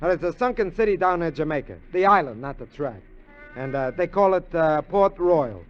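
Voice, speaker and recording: An actor playing an eager, scheming American burglar sidekick in a 1950s radio drama, talkative, slangy and wheedling. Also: male voice, on the high side, animated, normal speed, lightly acted. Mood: excited, persuasive. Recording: dull vintage radio recording.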